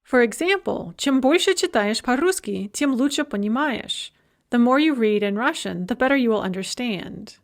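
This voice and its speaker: A woman lecturing on Russian grammar, speaking clearly and measuredly, and slowing down to model the Russian example sentences. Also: fairly high pitch, lively intonation. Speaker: university Russian language lecturer. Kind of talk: recorded language lecture.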